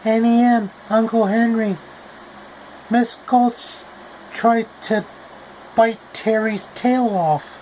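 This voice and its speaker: A man voicing Dorothy, a timid, worried young girl, speaking in a hesitant, halting way. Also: extremely high pitch, fairly even intonation, darkish tone, slight background noise, pitched above the performer's own voice, low-quality recording.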